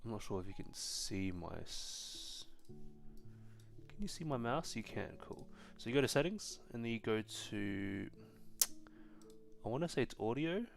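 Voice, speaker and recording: A man's voice: mid-range voice, unhurried, creaky, pauses often, soft background music. A young man, born in China, hosting a casual tech live stream, answering chat questions with lots of ums and restarts. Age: young adult. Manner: relaxed, frequent ums and restarts.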